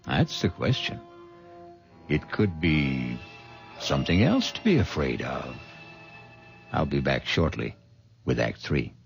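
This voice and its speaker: A man hosting and narrating a radio mystery drama in a measured, ominous way. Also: a bass voice, very animated, vocal fry. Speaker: host and narrator. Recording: dull old radio recording with music.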